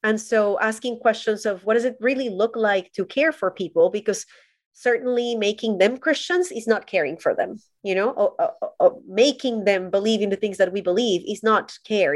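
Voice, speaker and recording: A woman's voice: mid-range voice, dry recording. Earnest woman from Colombia, dry sound. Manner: earnest, thoughtful. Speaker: podcast guest. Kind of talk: reflective personal storytelling.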